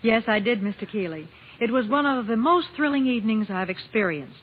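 A woman's voice: on the high side, expressive, a little fast, husky. A woman guest commentator giving scripted promotional talk in a 1950s radio style. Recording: muffled, noisy old radio broadcast.

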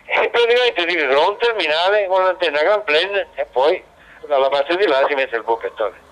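A man's voice: on the high side, lively intonation, a little fast, fairly bright tone, rough recording. A man chatting casually about technical matters as an amateur operator over a digital two-way radio. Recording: local digital radio transmission.

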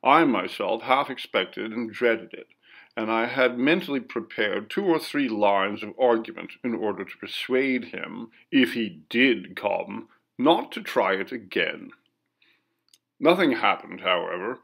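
Man for ghost story narration: formal, measured reader, animated intonation, some vocal fry. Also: on the low side. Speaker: audiobook reader.

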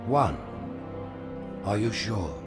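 A stern alien leader for a video game. His low voice is imperious and formal, with dramatic rises and falls, over a loud score. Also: big pitch swings, drawn-out words, music in the background, exaggerated voice. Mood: measured, probing. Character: grave, commanding alien hierarch pronouncing judgment.

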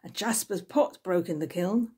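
Older lady doing chatty nice guy guest from a novel, husky soft tone. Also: on the low side, normal speed. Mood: cheerful. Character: Brian, friendly departing male retreat guest.

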